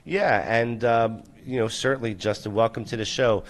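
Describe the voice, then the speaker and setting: A man's voice: mid-range voice, fairly even intonation, normal speed. A man hosting a casual live talk show, rambling and loose, with many fillers and some swearing. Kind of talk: casual live-stream chat.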